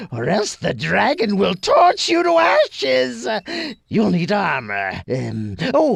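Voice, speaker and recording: An actor playing a scheming, theatrical wizard in a video game, with a raspy, creaky voice and exaggerated drawn-out delivery. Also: male voice, very high-pitched, dry recording, studio quality, heavily acted. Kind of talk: video game cutscene acting.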